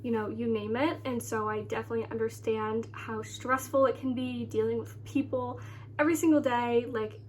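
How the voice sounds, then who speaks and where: High-voiced, slightly nasal young American woman. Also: fairly even intonation, normal speed, a little mellow, a little hiss or hum. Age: early 20s. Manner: chatty, rambling, frequent "like". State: congested with sore throat. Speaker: lifestyle vlogger. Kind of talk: casual chat to viewers.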